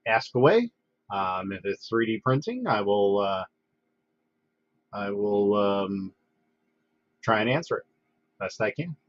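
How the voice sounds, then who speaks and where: A man hosting a casual livestream, congested from a head cold, talking in a relaxed, halting way with lots of fillers and restarts. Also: lowish voice, no echo. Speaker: livestream host. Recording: dull, dry lo-fi home stream audio.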